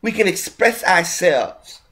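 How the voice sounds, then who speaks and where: A man putting on a high, stammering voice to mock a flustered European woman at a parade. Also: animated, slow, pushed and bright, heavily acted. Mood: gushing.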